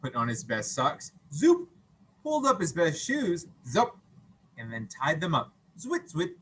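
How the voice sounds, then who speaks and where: Male read-aloud teacher, playful, high-pitched, very animated, bright voice, expressive storytelling. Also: unhurried, a little hiss or hum, rough recording. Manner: playful, expressive, acts out sound effects. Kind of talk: animated children's story reading with questions.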